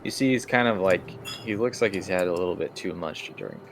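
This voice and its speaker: A man narrating a tabletop role-playing game as game master, in a casual, halting, conversational way. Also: deep voice, creaky, background noise.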